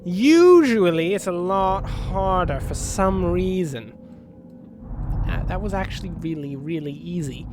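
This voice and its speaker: A man's voice: sits high, expressive, a little slow. Sniffly man rambling over game music. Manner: joking, rambling, talks to the game's characters. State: sniffly, runny nose. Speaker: let's play host. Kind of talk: rambling gameplay commentary. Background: game music and spaceship sounds.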